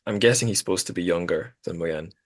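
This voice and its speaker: A man with a notably deep voice hosts a literary podcast. He talks casually and at length, wandering through his points with a self-deprecating touch. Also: quick pace. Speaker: podcast host.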